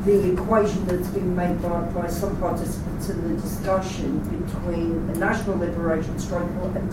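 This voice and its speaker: A woman making an assertive rebuttal in a discussion, with frequent restarts. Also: on the low side. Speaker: audience member. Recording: far mic, echoey room, noise.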